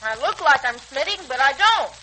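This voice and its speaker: A brash little American boy in an old radio comedy-drama. The voice is high and very sharp, slangy and defiant, with faint hiss. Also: very animated, moderate pace, exaggerated voice, rough recording. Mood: whiny, defiant. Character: cheeky, defiant small boy acting tough. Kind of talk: 1940s radio serial acting. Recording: hissy 1940s radio recording.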